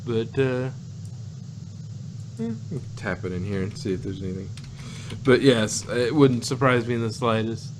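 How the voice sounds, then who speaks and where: A man's voice: mid-range voice, steady tone, moderate pace, pauses often, background noise. A man co-hosting a casual, rambling review chat with a wry, sarcastic manner. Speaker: podcast co-host reviewing audio dramas.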